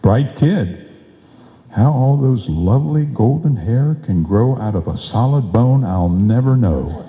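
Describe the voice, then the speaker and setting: An actor playing a blustering, sarcastic husband with emphatic, wisecracking delivery in an old-time radio comedy. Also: male voice, mid-range voice, animated, unhurried. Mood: wry. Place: live stage performance in a hall. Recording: muffled live recording in echoey hall.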